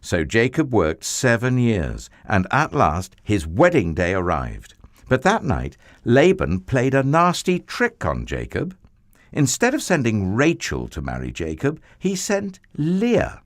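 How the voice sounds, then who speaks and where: A man telling a children's Bible story in an expressive, theatrical way with drawn-out emphasis. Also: medium pitch, no room sound, clean studio sound.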